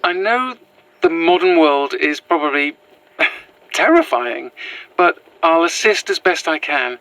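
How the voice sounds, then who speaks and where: Bright, anxious British woman, acted for audio drama. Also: deep voice, lively intonation, measured pace, no room sound, heavily acted, poor audio. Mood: hesitant, earnest. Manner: hesitant, apologetic, earnest. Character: anxious, earnest young woman, former history teacher on the run. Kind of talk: found-footage horror audio drama.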